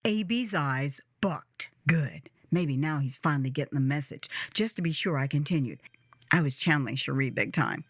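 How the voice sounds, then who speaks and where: Very animated woman storyteller. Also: low voice, normal speed, no echo, clean studio sound. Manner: theatrical, expressive, emphatic delivery. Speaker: storyteller, author reading own memoir. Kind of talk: dramatized first-person storytelling.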